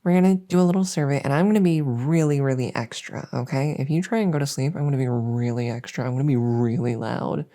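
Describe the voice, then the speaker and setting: A woman playing a needy, exasperated but harmless female monster under the bed, rambling and stammering softly, often in whispers, in an ASMR audio roleplay. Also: very deep voice, fast, hardly any pauses. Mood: insistent. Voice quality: soft, often breaking into whispers. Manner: rambling, stammering, pleading, silly.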